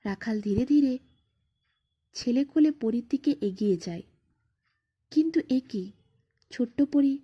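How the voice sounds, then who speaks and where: High, husky woman storyteller, unhurried. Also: animated, dark tone, lo-fi sound. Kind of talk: expressive scripted bedtime-story narration.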